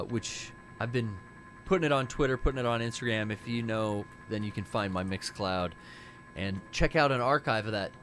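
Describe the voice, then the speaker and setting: A man's voice: lowish voice, fairly bright tone, slight background noise. Profane, rambling man streaming games. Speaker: streamer and DJ, co-host. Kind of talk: casual stream chat while gaming.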